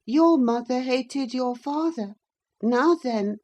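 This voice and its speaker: A woman's voice: sits high, takes it slowly, warm tone, muffled recording, voice pushed up. A woman voicing a sickly, petulant teenage boy in an audiobook, whining and self-pitying. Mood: taunting. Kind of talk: audiobook character reading.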